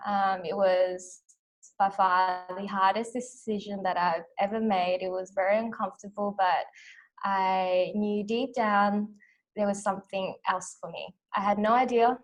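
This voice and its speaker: A woman's voice: medium pitch, a little slow, low-quality recording. A young woman from Australia in her twenties, hosting an online conference on a video call from a small room, guiding a breathing exercise and welcoming everyone. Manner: calm, soft counting, warm welcoming. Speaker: conference host. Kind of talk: guided breathing, then welcoming talk. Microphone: video call webcam.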